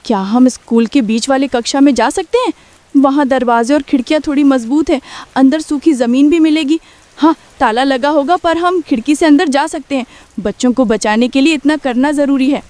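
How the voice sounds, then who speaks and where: A woman acts as an anxious, protective village mother from a cartoon moral tale. Her voice sits high, and she speaks earnestly, caring for her family. The sound is dry and close. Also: moderate pace, a little mellow, dry recording, lightly acted. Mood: worried, urgent. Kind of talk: Hindi cartoon story dialogue.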